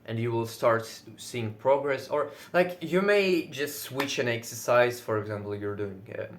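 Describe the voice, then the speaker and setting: A man's voice: medium pitch, moderate pace, slight background noise. Chatty man with a Russian accent. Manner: chatty, searches for words, swears casually; says he is loud most of the time. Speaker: video creator. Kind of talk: casual solo talk to camera.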